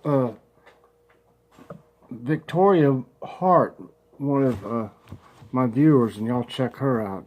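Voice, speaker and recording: A man, a congested vlogger chatting folksily and slowly reading a devotional aloud. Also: mid-range voice, darkish tone. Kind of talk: casual home vlog with prayer and devotional reading.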